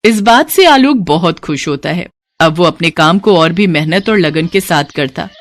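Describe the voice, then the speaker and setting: A woman's voice: fairly low pitch, expressive, moderate pace. A woman narrating a scripted moral bedtime story as a storyteller.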